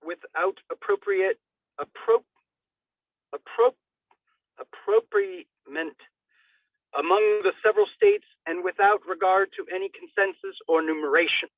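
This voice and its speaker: Theatrical high-pitched man, thin phone-like sound. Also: no room sound. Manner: theatrical, declamatory delivery with emphatic swells. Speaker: reader. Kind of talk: dramatic reading of a legal document.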